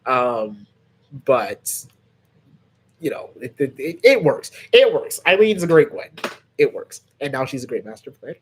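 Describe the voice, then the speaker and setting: A man's voice: medium pitch, sing-song, a little slow, a little hiss or hum. A man hosting a channel, chatting casually and chummily with fans on a livestream in a rambling way. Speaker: host of a fan series channel answering questions.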